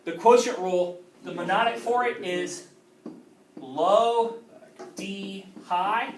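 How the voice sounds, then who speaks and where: Male math teacher, lecturing and checking in with the class. Higher voice, recorded from a distance in a roomy room with faint background hiss. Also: takes it slowly. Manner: checks in with frequent "okay?".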